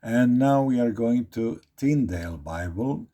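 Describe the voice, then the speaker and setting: A man's voice: mid-range voice, lively intonation, measured pace, mellow. A man with a Croatian accent, a native Croatian speaker, giving an informal Bible study talk, reading verses aloud and repeating phrases as he explains them. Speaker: Bible teacher.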